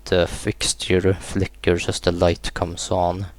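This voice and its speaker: A man reading a horror visual novel aloud in a subdued, unhurried way, as a gaming YouTuber narrating a playthrough. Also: sits low, completely flat delivery, dry recording. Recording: music behind the voice. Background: game music.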